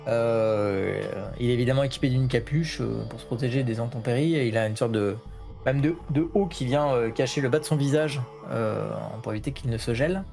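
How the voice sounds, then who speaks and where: A man runs a tabletop role-playing game, describing the scene in an unhurried, halting way. His voice has a gravelly edge at a middle pitch. Faint music plays behind him. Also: a little mellow. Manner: hesitant, many fillers between phrases. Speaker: game master.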